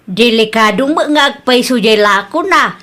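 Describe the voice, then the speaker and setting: A woman's voice: a little high, lively intonation, moderate pace, a bit bright. A woman with an Ilocano accent playing a cautious, fussing mother in an audio drama. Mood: concerned.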